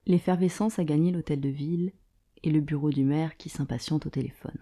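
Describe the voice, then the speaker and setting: Female drama narrator, low-toned and very mellow, husky with vocal fry, terse scripted delivery. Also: steady tone, a little fast. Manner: terse present-tense storytelling.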